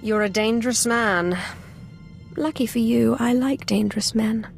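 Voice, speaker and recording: Sith lord woman in a video game, cool n bossy, teasing, bit of fry, measured. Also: a little high, faint music behind. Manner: poised, measured, dryly flirtatious. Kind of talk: video game character acting.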